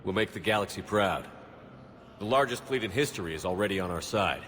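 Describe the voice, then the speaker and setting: An actor playing a steady, resolute male military commander in a video game, speaking calmly and plainly. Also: brisk. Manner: calm, plain, serious. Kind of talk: video game cutscene acting. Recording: long hall reverb, faint low rumble.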